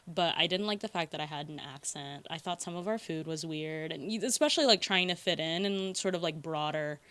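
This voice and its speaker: A woman's voice: sits low, a little fast, fairly bright tone. A young woman from the Philippines, a guest speaking candidly and earnestly in a reflective interview, with frequent "like" fillers.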